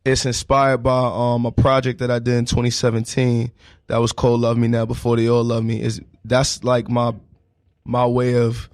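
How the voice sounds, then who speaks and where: A man's voice: mid-range voice, monotone, normal speed. A man in his late twenties chatting casually as an interview guest, talking in emphatic slang and often checking that he is understood. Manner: slangy, emphatic street talk with repeated check-in phrases. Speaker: guest, hip hop emcee. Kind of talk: casual radio interview chat.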